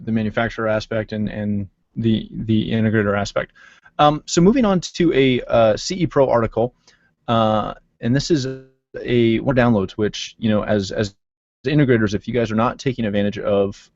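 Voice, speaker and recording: A podcast host talking on a muffled video call. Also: male voice, on the low side, darkish tone, no room sound. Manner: loose, filler-heavy talk with many restarts. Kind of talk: casual video-call panel chat. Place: home office with shelves and wall clock. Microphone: desk mic on video call.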